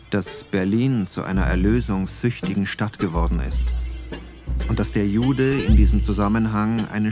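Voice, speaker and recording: An actor playing a reflective male essayist, narrating in a measured, solemn way for a radio play. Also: low-pitched.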